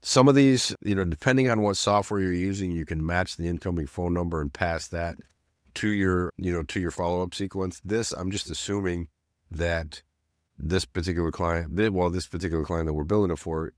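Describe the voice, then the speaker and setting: Deep-voiced man, nasal from a cold. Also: normal speed, dry recording, clean studio sound. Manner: casual, rambling, many restarts and "you know". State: getting over a cold. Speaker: self-storage business owner teaching automation. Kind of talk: screen-share tutorial narration.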